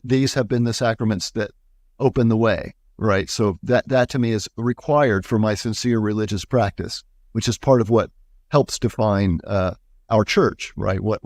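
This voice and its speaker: Interview guest: male pastor, 60s, thoughtful, halting, sincere delivery, very dry recording. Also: on the low side, darkish tone, no echo. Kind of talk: conversational interview.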